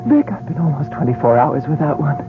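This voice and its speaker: A woman's voice: sits low, big pitch swings, brisk. An actress playing a desperate young woman in drug withdrawal, speaking in a breathless, pleading way, in a radio drama. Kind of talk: 1970s radio drama acting. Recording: dull old radio broadcast with music.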